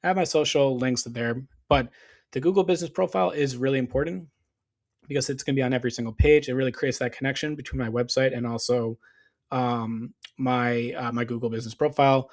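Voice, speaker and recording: A man's voice: medium pitch, fairly even intonation, fairly quick. A man who is losing his voice, walking viewers through his business setup in a casual screen-share tutorial, with lots of fillers and restarts. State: losing his voice, clearing throat. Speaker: SEO business owner.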